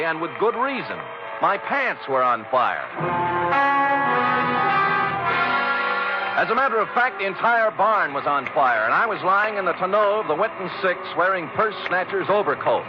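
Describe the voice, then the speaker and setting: Wry hard-boiled detective, mock-formal narration, animated intonation, on a dull vintage radio recording. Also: male voice, fairly high pitch, fairly quick, over loud music. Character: wry hard-boiled male private detective, glib and self-mocking.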